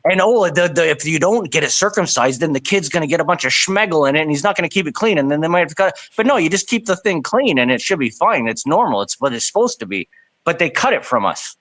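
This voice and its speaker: A man's voice: fairly high pitch, animated, fast, fairly bright tone, no room sound. A man, ranting animatedly and swearing in a casual group voice chat. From Canada. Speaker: voice chat participant.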